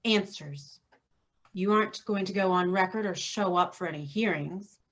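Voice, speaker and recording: An actress playing a stern middle-aged mother who leads vigilante women in a staged reading, firm and measured. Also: fairly low pitch, lightly acted.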